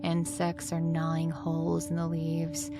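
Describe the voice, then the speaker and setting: A woman playing a demanding, thoughtful high school art teacher in an audio drama, speaking in a deliberate, instructive way. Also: monotone, loud music behind the voice. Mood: earnest, instructive.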